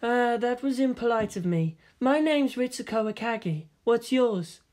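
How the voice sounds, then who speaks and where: A woman reading an audiobook, voicing a cool, exacting woman scientist in a flat, measured manner. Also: a little high, voice pushed up. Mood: polite, reserved. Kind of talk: audiobook character voice.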